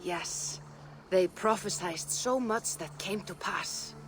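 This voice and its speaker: A caring Norse seeress from a video game , voiced by a woman. She is soft-spoken and wistful, taking her words slowly. Music plays clearly behind her. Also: medium pitch, close to an everyday voice. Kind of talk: dramatic video game cutscene acting.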